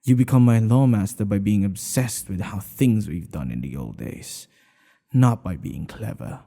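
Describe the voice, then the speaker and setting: A man playing a smitten older king in an ASMR boyfriend roleplay, regal and teasing, with courtly phrasing and a breathy voice that often drops toward a whisper. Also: fairly low pitch, expressive, unhurried, warm tone, full character voice. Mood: frustrated, confiding.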